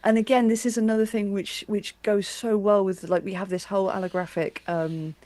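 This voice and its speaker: A woman interviewer with a mid-range voice, recorded dry. She talks casually and supportively, as in a relaxed conversation between colleagues. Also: medium pitch, brisk, no room sound.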